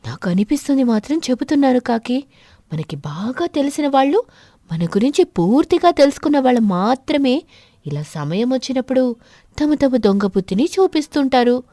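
A woman voicing a sly, thieving pigeon in an animated fable, insinuating and defensive while feigning innocence. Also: high-pitched, moderate pace, dry recording, studio quality.

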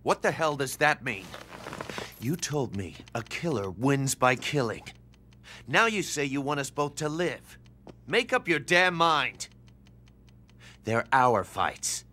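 An actor playing a hot-headed, foul-mouthed young assassin in a video game, with an irritable, sarcastic delivery. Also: male voice, on the high side, very animated, measured pace, pushed and bright, heavily acted. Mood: angry. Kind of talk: video game cutscene acting.

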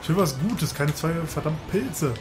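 Man, slightly high voice, joking gaming commentary, sound effects, game music behind. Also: animated, normal speed. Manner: joking, makes sound effects, swears playfully. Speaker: let's play host.

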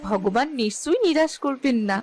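Woman acting as young village daughter, cartoon, high voice, caring, consoling, music behind. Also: lively intonation, a little fast. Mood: reassuring. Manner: consoling, reassuring. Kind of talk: Bengali fairy-tale cartoon dialogue.

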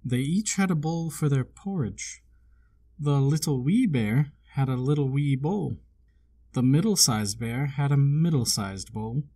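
A man reading a children's storybook aloud as narrator, with measured, expressive storytelling phrasing. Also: medium pitch. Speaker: storybook reader.

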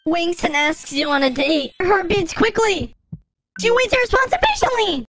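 A woman's voice: extremely high pitch, big pitch swings, normal speed, a bit bright. A woman doing playful comedic cartoon voice-over, reading action cues aloud as she switches between characters. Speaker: voice-over creator.

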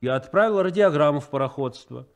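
A fatherly, principled officer aboard a ship in a 1970s radio play. His voice sits a little high and moves with lively intonation. He speaks formally, with authority. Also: fast. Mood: firm, probing. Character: principled, fatherly ship's political officer, firm and kind. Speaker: radio drama actor.

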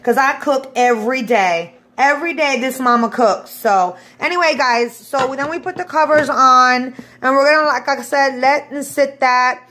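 High-pitched woman chatting in an echoey kitchen. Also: normal speed, hardly any pauses, bright, forceful tone. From the Bronx, New York, USA. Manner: informal, addressing viewers as guys, unscripted. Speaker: home cook vlogger. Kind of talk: casual cooking demonstration, chatty. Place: home kitchen, indoors. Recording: echoey room, clear background noise.

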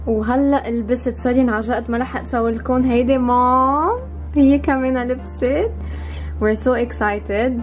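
A Lebanese woman in her thirties with a fairly high voice talks casually to her vlog. She is bubbly and effusive and slips English into her sentences. Music plays clearly behind her. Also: steady tone, normal speed. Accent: Lebanese Arabic. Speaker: vlogger.

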